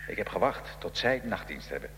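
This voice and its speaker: A man acting in a radio drama as a defiant, wry nineteenth-century Italian revolutionary prisoner, telling his story gravely and with irony. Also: on the low side, sing-song, a little fast, noisy background. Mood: quiet, dry.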